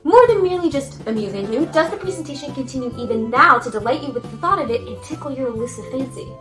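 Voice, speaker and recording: A woman's voice: high-pitched, expressive, fast talker, music in the background. A woman doing scripted announcing as a presenter, with whimsical, flowery phrasing. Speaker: presenter of judging criteria.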